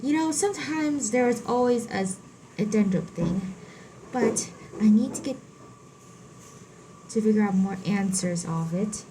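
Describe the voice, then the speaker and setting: A woman giving casual, halting gameplay commentary, thinking aloud and repeating phrases as she plays. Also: medium pitch, a little hiss or hum, rough recording. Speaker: gamer narrating a playthrough. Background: loud game music.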